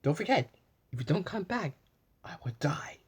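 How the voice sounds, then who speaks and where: A man reading a storybook aloud, voicing a sad, lonely male beast in a soft, raised voice that sometimes drops to a whisper. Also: a little high, sing-song, normal speed, husky, full character voice. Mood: pleading.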